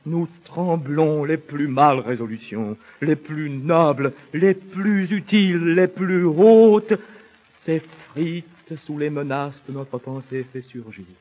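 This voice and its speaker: An actor playing a tormented prince pondering life and death in a grave, deliberate theatrical soliloquy. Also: male voice, a little high. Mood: fearful, resigned, then indignant, anguished. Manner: grave, deliberate, swelling declamation. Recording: narrow, phone-like sound.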